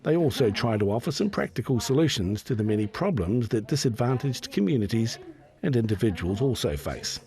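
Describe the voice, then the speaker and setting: A man narrating a documentary in a measured, even scripted delivery. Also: mid-range voice. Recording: narration with clear music behind.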